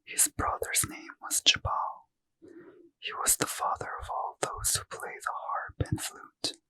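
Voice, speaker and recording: A man reading scripture aloud as a soft, slow ASMR whisper, gently lilting. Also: low-quality recording. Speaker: reader.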